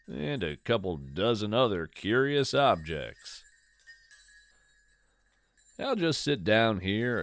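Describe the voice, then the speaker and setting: A man's voice: on the low side, a bit bright, no room sound. A man narrating cozy folklore fiction in the first person as a podcast host, musing in descriptive, literary phrases with conversational asides.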